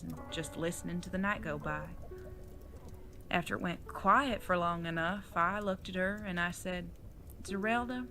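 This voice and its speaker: A woman's voice: fairly low pitch, pushed and bright. A woman playing an older retired outlaw mother in an audio drama, folksy, plain-spoken and teasing, with affection underneath. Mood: tender, reminiscing.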